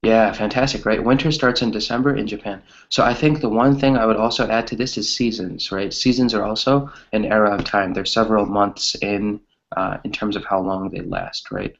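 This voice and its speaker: A man's voice: fairly low pitch, fairly even intonation, moderate pace, vocal fry, lo-fi sound. A man teaching English grammar to a small group, explaining with examples in an encouraging way and often checking in with short tag questions.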